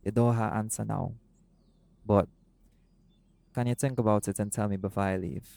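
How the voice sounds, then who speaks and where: Guy doing a sweet shifter boyfriend, gravelly warm low voice, faint music behind. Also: fairly even intonation, normal speed, no echo. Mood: affectionate. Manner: soft-spoken, affectionate, creole-inflected speech. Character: doting laid-back island boyfriend, seagull shifter. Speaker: audio roleplay performer. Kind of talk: intimate audio roleplay.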